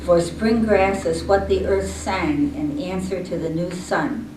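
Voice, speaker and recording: A woman reading poetry aloud to a group in measured phrases. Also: fairly low pitch, fairly even intonation. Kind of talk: slow poetry read-aloud. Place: indoor room at a senior center. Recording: echoey room, distant mic, faint noise.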